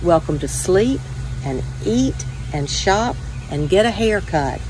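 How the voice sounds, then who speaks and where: A woman's voice: mid-range voice, lively intonation, slow, noisy background. A woman reading a children's storybook aloud as a first-person narration. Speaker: story time reader.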